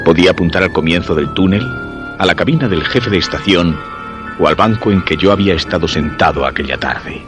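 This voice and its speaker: An actor from radio theatre playing an obsessed, lovelorn commuter who narrates his search in brooding, deliberate Castilian Spanish. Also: deep voice, music in the background, natural voice. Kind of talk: dramatic first-person radio theatre narration.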